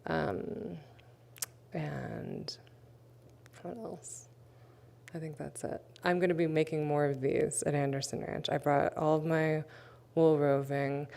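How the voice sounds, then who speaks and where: A woman with a low, gravelly voice that still sounds smooth and clear. She talks slowly and hesitantly about her work, pausing often with fillers. Her tone stays casual throughout. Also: fairly even intonation, dark tone. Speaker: artist presenting her work. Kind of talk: livestream artist talk.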